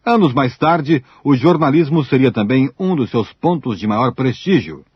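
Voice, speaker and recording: Dry, husky Brazilian documentary narrator, man. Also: medium pitch, expressive, normal speed, no room sound, dull audio. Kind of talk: scripted radio documentary narration.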